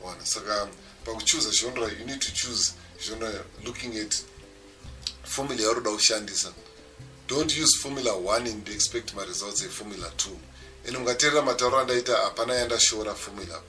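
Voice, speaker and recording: Zimbabwean man, low voice, mic far off. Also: a little fast, bright, forceful tone, faint music behind. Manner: mixes English and Shona mid-sentence, explanatory. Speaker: music producer giving a seminar. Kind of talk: WhatsApp voice-note lecture. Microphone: phone.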